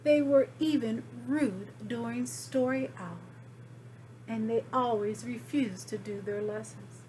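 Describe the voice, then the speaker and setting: A woman reading a children's story aloud as expressive narration, with deliberate, dramatic phrasing. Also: on the high side, a little hiss or hum, low-quality recording. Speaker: storybook reader.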